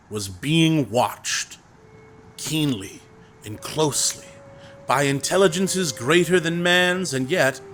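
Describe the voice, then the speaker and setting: Young theatre director, slow dramatic reading, fairly high voice, faint music under it, audio drama. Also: male voice, a bit bright, slight background noise. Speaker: actor.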